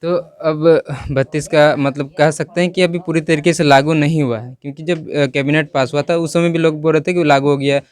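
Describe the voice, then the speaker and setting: Male interviewer, Indian accent, crisp clean tone, moderately high pitch, informal news questioning. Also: steady tone, brisk, few pauses.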